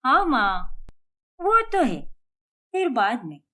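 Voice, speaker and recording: A woman's voice: high voice, big pitch swings, takes it slowly, bright, forceful tone, exaggerated voice. A woman voicing a young attention-seeking sister-in-law in a cartoon story, whiny and wounded. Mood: sweet.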